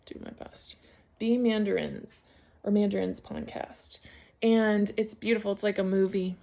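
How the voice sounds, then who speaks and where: Woman, home vlogger, gravelly fry, mid pitch, rambling and unfocused. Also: level tone, measured pace, warm tone. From United States. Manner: rambling, searching for words, many fillers and restarts. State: concussed, a little unfocused. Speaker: knitting vlogger. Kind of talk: casual solo vlog chat.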